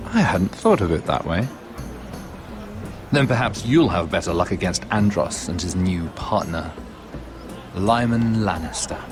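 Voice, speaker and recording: A worldly merchant lord in a fantasy game. His voice is low and swings freely in pitch, smooth and sardonic. Music plays clearly underneath. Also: expressive, unhurried, long pauses. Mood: bitter, wry. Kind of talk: fantasy video game acting. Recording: music behind the dialogue.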